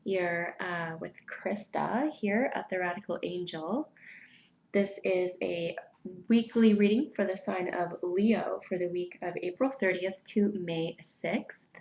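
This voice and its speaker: A woman giving a weekly tarot reading in an unhurried, soft way, sounding stuffy from allergies. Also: on the low side, vocal fry, reverberant, slight background noise. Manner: soft, unhurried, spiritual invocations. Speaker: tarot reader.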